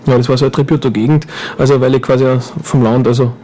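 A man's voice: medium pitch, flat delivery, fast talker, dark tone. A man with an Upper Austrian accent chatting casually as a guest in a radio studio, rambling and joking with lots of fillers. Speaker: guest performer.

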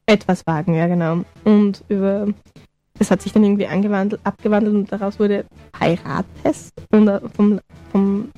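A woman, co-hosting a casual chat while she has a cold, giggling and pausing to clear her throat. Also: mid-range voice, warm tone. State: has a cold, slightly hoarse. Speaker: podcast co-host.

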